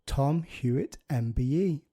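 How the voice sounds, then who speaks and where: A man hosts a surf podcast in a matey, easygoing way. He calls his guest "mate" and closes his questions with "right?" and "doesn't it?". His voice sits at an ordinary middle pitch. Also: expressive, a little slow, dark tone. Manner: matey, chatty questions with tag endings. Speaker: podcast host. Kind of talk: casual interview chat.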